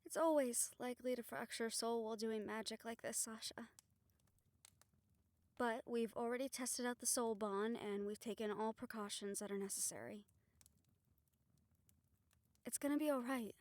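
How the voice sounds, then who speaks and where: A woman playing a calm, reassuring witch who leads a ritual in an audio roleplay, steady and encouraging as she gives instructions. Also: fairly high pitch, normal speed, long pauses, no echo, natural voice. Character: calm, reassuring female witch leading a soul-bond ritual.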